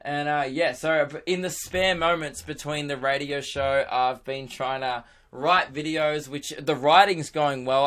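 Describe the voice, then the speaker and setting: A young man with a hoarse, worn-out voice, rambling excitedly on his own podcast, laughing and swearing as he goes. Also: medium pitch. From Australia. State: hoarse, lost voice. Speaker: podcast host and radio presenter. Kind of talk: casual solo podcast chat.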